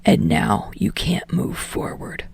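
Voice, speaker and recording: A woman voicing a weary, exasperated male film director in a low, flat, hushed delivery for an audiobook story. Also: takes it slowly, dry recording, whispered, close to an everyday voice. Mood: quiet, grave.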